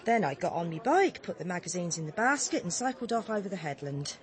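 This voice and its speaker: A woman's voice: on the low side, very animated, fast talker, some chatter behind. Gossipy, blunt English village woman. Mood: indignant, relishing. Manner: colloquial, gossipy, indignant storytelling. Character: blunt, chatty village woman giving a witness account. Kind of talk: radio drama acting.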